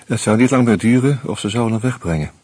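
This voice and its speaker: A man's voice: lowish voice, fast talker, a little mellow, husky, no room sound. An actor playing a wry, weary man who narrates his own murder mystery in a radio play, subdued and a little hesitant. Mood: subdued, reflective. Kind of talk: first-person radio drama narration and dialogue.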